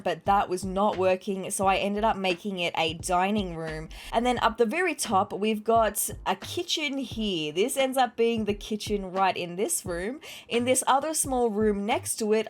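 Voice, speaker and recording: A woman's voice: medium pitch, slight background noise. A young Australian woman narrating a game build in a chatty, apologetic way, sounding slightly nasal while recovering from an illness. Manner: chatty and apologetic, quick asides.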